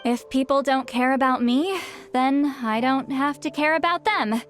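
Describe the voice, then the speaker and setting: A woman voicing a centuries-old shaman in a video game, halting and awkward, aloof but secretly lonely. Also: sits high, faint music behind. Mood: wistful, melancholy. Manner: halting, flustered hesitations turning wistful.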